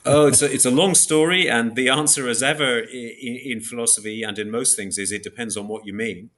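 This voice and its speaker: A man explaining ideas as an interview guest in a measured, precise academic way, often repeating and reformulating phrases as he thinks. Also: medium pitch, fairly even intonation, fairly bright tone.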